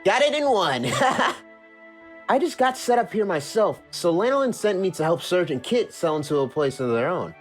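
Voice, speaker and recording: A woman voicing a polite, slyly sharp-tongued cartoon cat with a smooth, courteous manner, in a comic dub. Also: on the low side, big pitch swings, normal speed, soft background music. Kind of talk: animated comic dub acting.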